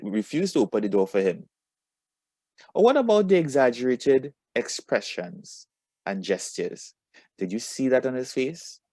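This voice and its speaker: A man teaching a lesson to students, asking questions and giving instructions with deliberate emphasis and encouragement. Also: lowish voice, darkish tone, no room sound.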